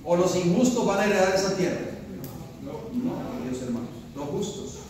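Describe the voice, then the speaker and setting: High-voiced preacher in an echoey hall. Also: male voice, very animated, moderate pace, a little mellow, far from the mic. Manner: preaching with rising emphasis, asks the congregation questions. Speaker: pastor. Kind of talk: sermon.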